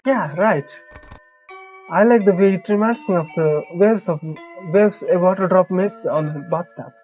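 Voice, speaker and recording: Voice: mid-range voice, a little slow, darkish tone, highs cut off. A game streamer reading a visual novel aloud with casual side comments, stumbling now and then over the lines. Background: game music.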